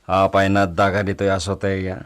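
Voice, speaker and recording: Radio drama patriarch male actor: lowish voice, measured, commanding, stern. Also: monotone, smooth, lightly acted. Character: stern landowning father speaking to his daughter.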